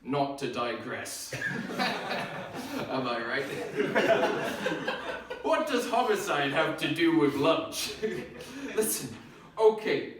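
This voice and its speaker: A man's voice: a little high, very animated, extremely slow, long pauses, crowd noise. Intense man in an echoey live room. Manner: chaotic, intense, rambling wordplay; acts out both sides of an argument. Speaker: spoken-word performer. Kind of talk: live spoken-word comedy set. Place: live event venue on stage. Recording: very echoey room, mic at a distance.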